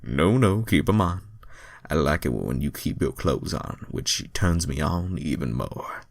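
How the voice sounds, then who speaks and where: A man's voice: a bass voice, expressive, unhurried, creaky, no echo, heavily acted. A man voicing a cool, cocky male hedgehog hero with a smug, casual, laid-back manner.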